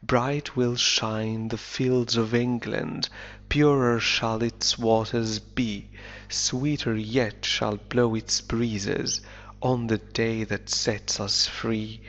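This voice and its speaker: A man teaching English, reading a novel aloud slowly and deliberately and explaining it line by line, an Arabic speaker. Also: mid-range voice, fairly even intonation, fairly bright tone. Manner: slow deliberate reading, then quick explanations. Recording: dull lo-fi recording.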